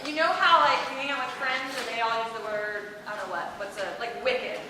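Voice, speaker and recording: A high-pitched woman hosts a small theater comedy night, chatty and full of little anecdotes. She is heard from far off in a hall with long reverb. There is a steady hiss of noise behind her. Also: brisk. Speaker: show host. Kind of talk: casual stage patter. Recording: echoey hall from far off, with crowd.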